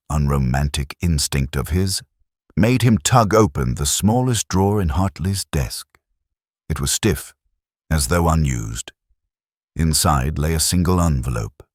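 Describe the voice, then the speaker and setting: A middle-aged man narrating a first-person detective story in a measured, literary, brooding manner. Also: very deep voice, no room sound, clean studio sound.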